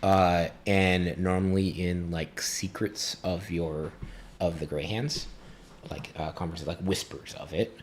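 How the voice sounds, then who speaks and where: A man running a tabletop game as game master, narrating casually in a halting, hesitant way. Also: sits low, fairly even intonation, slight background noise.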